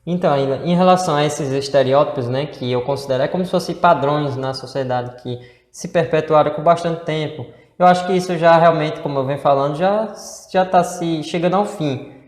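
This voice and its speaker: A man's voice: on the high side, fairly quick. A man with a Northeastern Brazilian accent, co-hosting a casual chat and explaining at length with rhetorical questions. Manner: rambling, many fillers and rhetorical questions. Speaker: co-host. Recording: echoey room with long reverb.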